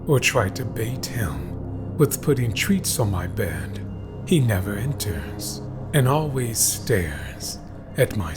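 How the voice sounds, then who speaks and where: A man reading scripted horror stories in a dramatic, deliberate way, with phrases that often trail into a hush. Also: on the low side. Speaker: horror story narrator. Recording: background music behind voice. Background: music.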